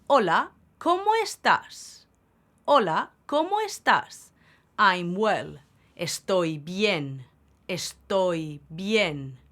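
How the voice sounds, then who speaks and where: Slow, bright-voiced woman teaching Spanish. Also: mid-range voice. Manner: says each word slowly and repeats it for learners. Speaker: Spanish teacher, channel host. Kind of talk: slow, clear beginner language lesson.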